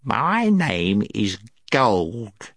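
A man's voice: on the low side, wildly swooping pitch, very slow, fairly bright tone, slightly rough, no echo, studio quality, full character voice. A man voicing a small, timid, servile gnome in a storybook, with a squealing, whimpering, chattering delivery. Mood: eager storytelling. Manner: servile, rambling, wide-eyed.

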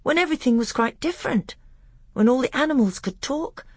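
A woman's voice: high voice, expressive, brisk, darkish tone, breathy edge, no echo, clean studio sound, voice pushed up. A woman voicing a very young, innocent boy prince in a storybook, with an artless, childlike way of talking. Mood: eager, excited. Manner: artless, breathless childlike babbling. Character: very little boy prince, innocent, eager, dreamy. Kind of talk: acted storybook dialogue.

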